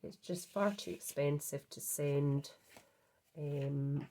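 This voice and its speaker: A woman hosts a craft vlog with a hoarse, gravelly voice from a cold. She talks slowly in a low register, rambling through her project with plenty of ums. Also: mellow, slight background noise. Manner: chatty, rambling, with many ums and restarts. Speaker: craft channel host. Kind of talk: casual show-and-tell craft vlog.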